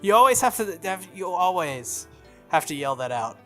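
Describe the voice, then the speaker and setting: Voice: medium pitch, sing-song, normal speed, voice pushed up, natural voice. A streamer voicing a haughty, prickly teenage girl. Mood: haughty scolding. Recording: music under the voice.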